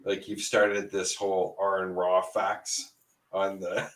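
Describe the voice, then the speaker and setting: A man's voice: low voice, completely flat delivery, takes it slowly, distant mic, poor audio. A man telling a story with much laughter and animation as a guest in a casual stream chat, on a video call.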